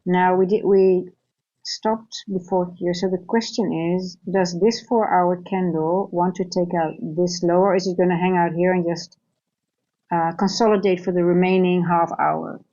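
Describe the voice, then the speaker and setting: Dutch woman streaming trades, warm lowish voice, kinda muffled mic, keeps restarting sentences and asking right?. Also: steady tone, measured pace. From Netherlands. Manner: thinks aloud with restarts and tag questions. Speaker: trading coach. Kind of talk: live trading stream commentary.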